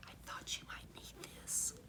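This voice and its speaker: A woman voicing a kindly, affectionate woman teacher in a storybook, speaking softly and confidingly in a hushed whispery tone. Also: measured pace.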